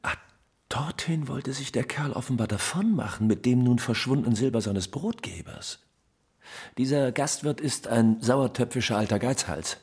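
An actor playing an affable, talkative captain of the imperial guard in a German radio drama, chatty, courteous and persuasive, with a husky voice. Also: male voice, mid-range voice, normal speed, a little mellow. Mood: confiding.

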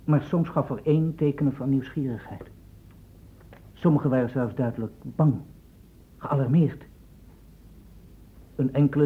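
Husky man, medium pitch, earnest concerned investigator, formal, radio drama, phone-like old recording. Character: earnest, concerned male investigator assisting a doctor.

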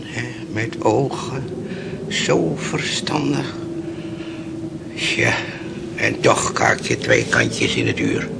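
Slow, gravelly old fisherman, noisy recording. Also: mid-range voice, heavily acted. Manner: rambling, folksy, hesitant, pausing. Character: old fisherman, slow, pious, fatalistic, musing. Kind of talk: old Dutch radio play acting.

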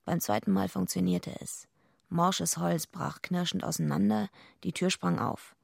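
A woman's voice: fairly low pitch, steady tone, a little slow, gravelly, a little mellow, no echo, clean studio sound, heavily acted. An actress playing a naive but tough young female wrestler in a radio drama, plain-spoken and slangy as she tells and acts out her story. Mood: wry, tense narration. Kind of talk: radio drama acting and first-person narration.

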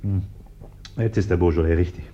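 An actor playing a polite, hesitant, respectable householder in a German radio play, courteous and awkward. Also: male voice, low-pitched, fairly even intonation, brisk, warm tone, natural voice. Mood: affable. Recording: muffled, noisy old radio recording.

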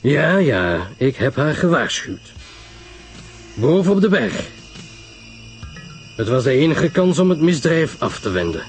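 A man voicing a grave, self-assured older detective who explains his reasoning in a measured, emphatic way, in a radio story. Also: on the high side, big pitch swings, breathy edge, faint music behind, heavily acted.

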